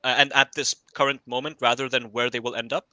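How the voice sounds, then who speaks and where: A man's voice: medium pitch, little pitch movement, fast talker, bright, forceful tone, no room sound. A young adult man, a college student on a podcast panel, answering hesitantly with many fillers and restarts.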